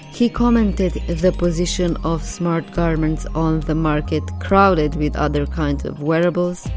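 A woman hosting a podcast, reading a clear, measured, rehearsed introduction. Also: fairly low pitch, a little mellow. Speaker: podcast host. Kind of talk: scripted podcast intro and interview questions.

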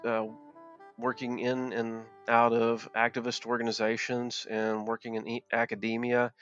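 A man's voice: on the low side, a bit bright, no room sound. Plainspoken man, dull dry line. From Virginia, USA. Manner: plainspoken, halting with repeated words. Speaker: interview guest. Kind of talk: conversational interview answers.

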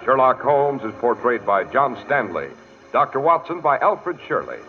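Male 1940s radio announcer, polished and theatrical, very varied pitch, dulled old broadcast sound. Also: sing-song, moderate pace, soft background music. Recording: muffled vintage 1940s radio recording.

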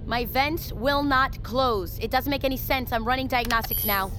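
A woman's voice: fairly high pitch, moderate pace, natural voice. An actress playing a plain-spoken, stoic woman submersible pilot in a naturalistic audio drama. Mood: focused, worried. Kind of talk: naturalistic audio fiction acting. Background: music, vehicle sounds.

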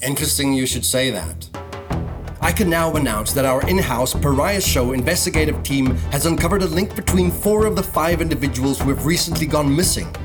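A man's voice: a little high, animated, a little fast, natural voice. An actor playing a polished, probing and insistent male host of a futuristic galactic talk show in a sci-fi audio drama. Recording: music bed behind the voice.

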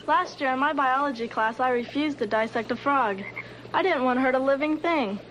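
An earnest teenage student, played by a young woman, gives a scripted testimonial in an old TV ad. Her voice is fairly high, with a little noise on the playback. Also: brisk, fairly bright tone, rough recording. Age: teens. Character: earnest teenage student who refused to dissect a frog.

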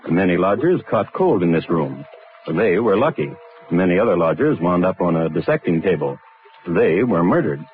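An actor playing a sardonic male crime connoisseur, narrating a vintage radio drama with deliberate, dry understatement. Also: low-pitched, big pitch swings, faint music behind. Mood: dry, ominous. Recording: tinny, band-limited vintage radio recording.

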